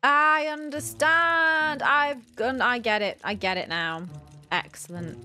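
A woman's voice: sits high, steady tone, slow pace, bright, forceful tone, faint music behind. A young woman gives casual let's play commentary, thinking aloud and questioning herself in puzzlement. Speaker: gaming video host. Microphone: close desk mic with headset on.

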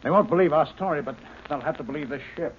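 An actor playing a scientist in danger who speaks urgently and pleads, in an old 1950s radio drama. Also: male voice, a little high, fast, close to an everyday voice. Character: male scientist in peril, desperate and earnest. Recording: dull old radio broadcast with faint hiss.

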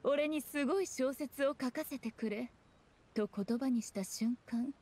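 Game role: sly, regal fox shrine maiden, high voice, archaic and teasing. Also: female voice, a little mellow, husky. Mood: explaining calmly. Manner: archaic lofty speech, amused and unhurried. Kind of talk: scripted video game voice acting.

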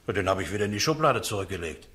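An accused man in a radio play. His voice sits on the low side, and he talks in a colloquial, halting way, defending himself like an ordinary working fellow. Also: expressive, a bit bright. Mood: uneasy, defensive. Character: defensive accused man on trial, down-to-earth ex-salesman.

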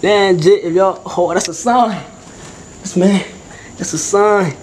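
A young man vlogging with a handheld camera as he explores a dark abandoned building at night, talking in loose slang and dropping to whispers. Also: high-pitched, slow. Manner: slangy, excitable, often hushed to a whisper. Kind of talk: casual handheld vlog. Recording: noisy camera audio.

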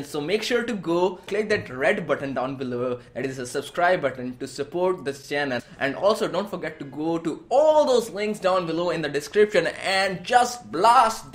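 A man in his twenties with an Indian accent, talking casually to camera as a gaming vlog host in a room at home. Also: a little high. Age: 20s. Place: small room at home, indoors.

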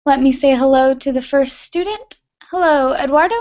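A young woman with a Texan accent teaching a beginner English class over a webcam video call from her bedroom, speaking clearly and encouraging her students. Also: sits high, normal speed, a little mellow. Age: young adult. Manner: encouraging, repeats student answers, laughs often. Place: bedroom at home. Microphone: laptop webcam on video call. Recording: dull lo-fi video call audio.

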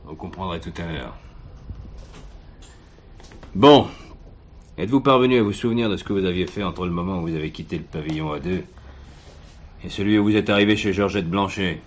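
An actor playing a blunt, sardonic, hard-nosed police commissioner who bullies a suspect in a French radio crime drama. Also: male voice, deep voice, a little fast, long pauses, natural voice. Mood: probing. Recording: noisy old radio drama recording.

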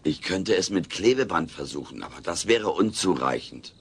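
A man voicing a cheeky, gluttonous little furry alien with deadpan wisecracks in a German sitcom audio play. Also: sits low, normal speed, gravelly, breathy edge, full character voice. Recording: faint hiss, old cassette audio.

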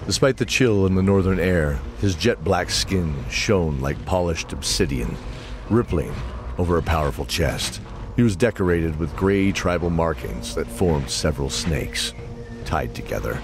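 A man's voice: sits low, expressive, unhurried. A man narrating a scripted fantasy audio drama. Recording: voice over prominent music.

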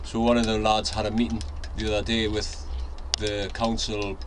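A man's voice: lowish voice, monotone, normal speed. A man in his forties with a Northeast English accent chatting casually to a handheld camera, rambling with lots of ums and restarts. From North East England. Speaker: allotment vlogger. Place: outdoor allotment garden, then indoors in a shed. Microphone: handheld camera mic, then fixed camera. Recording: outdoor ambience with sirens and traffic. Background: outdoor ambience, traffic.

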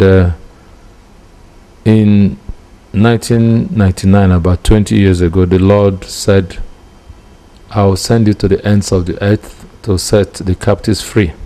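Male church founder, fifties, Nigerian accent, deep and relaxed tone, personal interview account. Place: indoor room. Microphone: handheld interview microphone.

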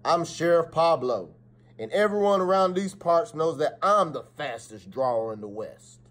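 A man's voice: a little high, lively intonation, moderate pace, a bit bright. A man voicing a boastful but anxious Wild West sheriff from a storybook, with emphatic folksy delivery.

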